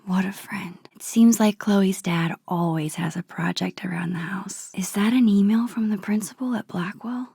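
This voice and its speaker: A woman playing a soft-spoken, wistful, nerdy young girl in a video game, musing to herself in a breathy, hushed, understated and dryly playful way. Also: fairly low pitch, no room sound, studio quality, heavily acted. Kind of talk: video game character monologue.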